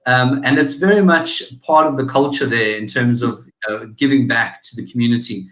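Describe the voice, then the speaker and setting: A man's voice: mid-range voice, a bit bright, echoey room. A man with a South African accent, a business owner talking as a guest in a casual interview, often restarting his sentences. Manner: analytical, halting with many restarts and fillers.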